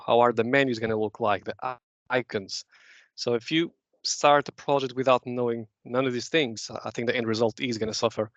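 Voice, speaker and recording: Man, from Portugal, relaxed slide talk with many restarts, dry and a bit dull on a meeting call. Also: mid-range voice, dry recording. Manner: relaxed, explanatory, frequent "uh" restarts. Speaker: presenter at a user group.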